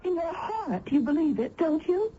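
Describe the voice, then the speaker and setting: A woman's voice: extremely high pitch, sing-song, normal speed, dark tone. An actress playing an earnest, emotional young village woman in a heartfelt, dramatic old-time radio style. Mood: hesitant, pleading. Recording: dull vintage radio recording.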